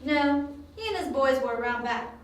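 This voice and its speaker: A woman's voice: high-pitched, expressive, moderate pace. A woman playing a spirited, cheeky young female informant in an amateur audio drama, chatty and a little grudging. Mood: eager, recounting. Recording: distant, very echoey, faint room noise.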